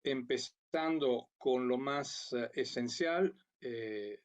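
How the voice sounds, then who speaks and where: A man from Italy with an Italian accent, giving a conference talk as a program coordinator over a video call, speaking in a measured way with frequent fillers and restarts. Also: medium pitch. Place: at home or office, remote.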